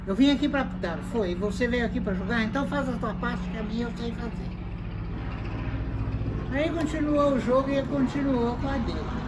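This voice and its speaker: A woman's voice: mid-range voice, background noise. Older Brazilian woman telling stories. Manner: animated, acts out both sides of quoted exchanges. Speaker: interviewee, former football referee. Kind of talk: conversational storytelling.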